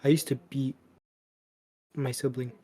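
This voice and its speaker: A young man co-hosting a casual podcast, talking in a flat, deadpan monotone. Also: medium pitch, measured pace, no echo. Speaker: podcast co-host. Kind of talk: casual chat.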